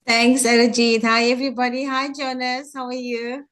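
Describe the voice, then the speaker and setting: A woman from Africa, from banking, asking a panelist a chatty, deliberate question and laughing easily. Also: fairly high pitch, little pitch movement, a bit bright. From South Africa.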